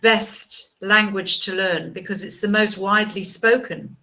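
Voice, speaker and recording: A woman's voice: medium pitch, steady tone, measured pace, a crowd in the background. A woman chatting as an online English tutor, encouraging and chatty, often repeating words. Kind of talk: casual video-call chat.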